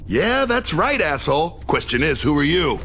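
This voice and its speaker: An actor playing a sarcastic, cocky young soldier with a mocking, snarky manner, in a video game cutscene. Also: male voice, fairly high pitch, very animated, moderate pace. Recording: game cutscene with clear battle noise.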